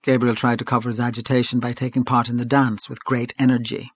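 A male narrator reads literary fiction in a measured, even way, his pitch sitting in a middle register. The recording is close and dry with no room sound. He keeps a calm, steady storytelling pace. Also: husky, dry recording. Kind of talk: audiobook reading of literary fiction.

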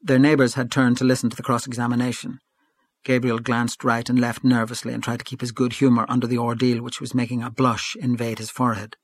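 A man reading literary fiction aloud as an audiobook narrator, in a measured, even storytelling manner. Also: medium pitch, breathy edge.

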